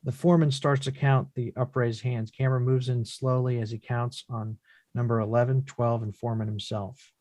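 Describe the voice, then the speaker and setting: A man narrates the stage directions of a play from a script. His delivery is measured and descriptive, in a middle pitch. The recording is dry, with very little room sound. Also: flat delivery, a little mellow, no echo.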